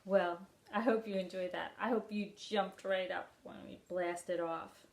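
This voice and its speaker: Slow, low-voiced woman reading at storytime. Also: steady tone, gravelly. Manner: gentle, simple explanations addressed to young children. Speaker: children's library storytime reader. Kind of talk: storytime read-aloud and talk to children.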